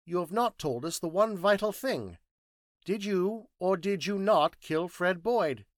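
A man's voice: high voice, animated, unhurried, no echo, studio quality, pitched above the performer's own voice. A man voicing a curt, petulant, exacting scientist detective in an audiobook story, speaking precisely and didactically. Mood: curt, certain.

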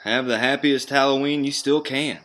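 A man's voice: medium pitch, steady tone, moderate pace, fairly bright tone, lightly acted. A man acting a friendly, cautious suburban father and neighbor in an audio drama.

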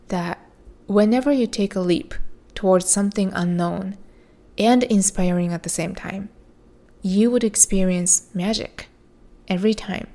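Slow, soothing woman podcast host. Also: mid-range voice, mellow. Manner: slow, soothing, measured delivery. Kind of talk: reflective solo podcast monologue.

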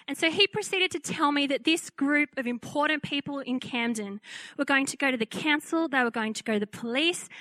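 High-voiced woman preacher, bright tone. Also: no echo. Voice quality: fairly high-pitched. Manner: animated storytelling, acting out quoted voices. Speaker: pastor. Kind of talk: testimony sermon.

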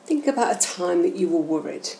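Female meditation host, soothing instructional delivery, gravelly fry in the voice, slight background noise. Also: lowish voice, expressive. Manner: gentle, measured, instructional. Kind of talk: slow, soothing guided meditation narration. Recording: roomy echo, faint background noise.

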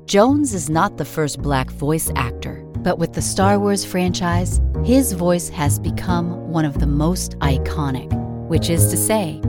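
A woman reading scripted podcast narration in a measured, deliberate way with emphatic phrasing. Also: low-pitched, darkish tone. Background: light background music.

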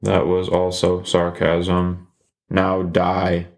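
A man voicing a deadpan, literal-minded killer who talks in a flat, robotic monotone. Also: low voice, drawn-out words, creaky, exaggerated voice. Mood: flat menace.

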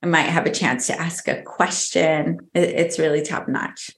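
A woman's voice: low voice, fairly even intonation, moderate pace, creaky, echoey room. A woman who is a guest on a podcast interview, talking fluently and upbeat and laughing often. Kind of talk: casual interview.

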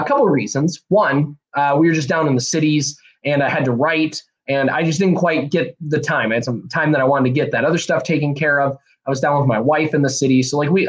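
A young man, hosting a solo podcast in a rambling, energetic way, a bit hoarse and nasal from a cold. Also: on the high side, rough recording. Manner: rambling, energetic, many fillers and restarts. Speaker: podcast host. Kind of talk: casual solo podcast talk.

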